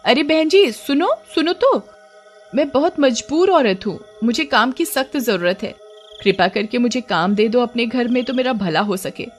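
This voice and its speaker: A disguised witch, voiced by a woman storyteller, begs in a very high, swooping voice. She poses as a needy woman, pleading and ingratiating, over background music. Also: animated, normal speed, lightly acted.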